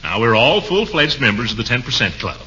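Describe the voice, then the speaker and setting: An actor playing a pompous, blustering middle-aged uncle in a 1940s radio comedy, full of puns and chuckles. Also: male voice, medium pitch, very animated, brisk, pushed and bright, a little hiss or hum. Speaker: comic lead of a radio sitcom. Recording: dull lo-fi 1940s radio recording.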